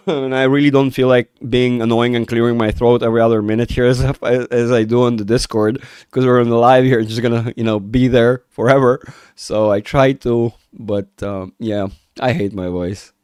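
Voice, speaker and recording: A male livestream host with a cold talks loosely through market moves and answers chat, often breaking off mid-sentence. His voice is still smooth and clear, recorded close and dry with no room sound. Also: medium pitch, steady tone, moderate pace, darkish tone, no room sound. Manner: rambling, self-interrupting, reads chat aloud. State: has a cold, voice rough. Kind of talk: casual livestream chat with viewers.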